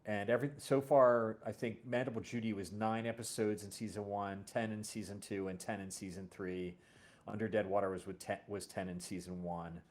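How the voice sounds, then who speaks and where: Low-voiced man, halting and thoughtful. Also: fairly even intonation, a little mellow. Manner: halting, thinking aloud with self-corrections. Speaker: host and audio drama series creator. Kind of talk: casual interview chat.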